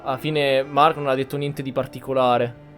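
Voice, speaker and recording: A man's voice: mid-range voice, level tone, brisk, fairly bright tone. A man giving casual gameplay commentary, thinking aloud in offhand, broken remarks. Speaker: gamer commentator. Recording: faint game music behind. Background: faint game music.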